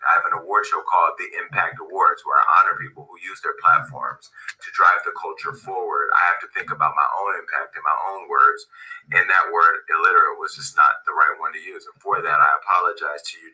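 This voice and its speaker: Deep voiced guy talking straight to camera from his office, measured, hammers his points, pushy edge. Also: bright, forceful tone, rough recording. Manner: deliberate and measured, with repetitive emphasis. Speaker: media founder giving a video statement. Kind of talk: direct-to-camera monologue.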